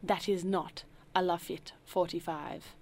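A woman's voice: fairly low pitch, fairly even intonation. Woman playing a grave formal butler. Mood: cool. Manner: formal, measured, softly spoken. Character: dignified, grave male butler, quietly disdainful.

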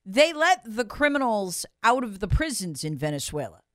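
A woman's voice: medium pitch, very animated, normal speed, dry recording, clean studio sound. A woman hosting opinionated political commentary, speaking sarcastically and emphatically, repeating phrases for effect and acting out quotes in a conversational way.

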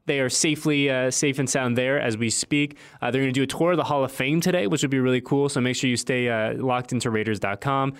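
A man's voice: medium pitch, fast, few pauses, clean tone. Upbeat, emphatic male sports podcast host. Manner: animated, emphatic, repeats phrases for emphasis.

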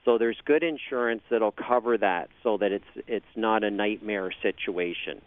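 A man with a Canadian accent, calling in as a travel agent guest. His speech is halting and full of fillers and restarts. It comes through a tinny, dry phone line. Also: lowish voice, no echo. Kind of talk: phone interview on radio.

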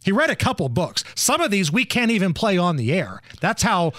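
A man's voice: high voice, big pitch swings, brisk, a bit bright, no echo, clean studio sound. A man hosting a talk radio show with opinionated, emphatic commentary.

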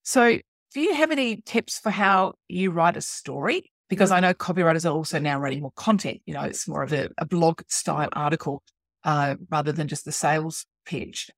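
Low-pitched middle-aged woman hosting. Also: brisk, no echo, studio quality. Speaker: podcast host, copywriter. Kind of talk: conversational interviewing.

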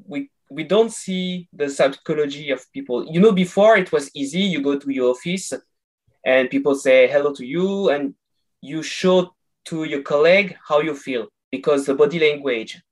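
Slow-paced man with non-native English accent. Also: on the high side. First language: not English. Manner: halting, explanatory, searching for words. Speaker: interview guest, voice coach. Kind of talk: conversational interview.

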